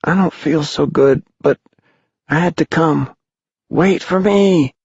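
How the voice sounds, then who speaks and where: A man's voice: fairly high pitch, slow pace, gravelly, husky, dry recording, dull audio, pitched above the performer's own voice, heavily acted. A man voicing a small, ailing, earnest young boy in a storybook, with a thin, frail, plaintive voice that calls out pleadingly. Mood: weak, pleading. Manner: plaintive, strained calling.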